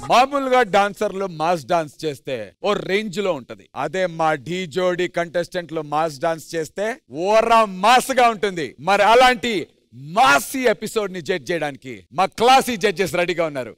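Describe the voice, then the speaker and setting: Animated high-pitched male dance show host. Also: brisk, clear, resonant tone, no room sound, clean studio sound. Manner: loud, exclamatory stage announcing. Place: TV studio stage. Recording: loud music behind the voice.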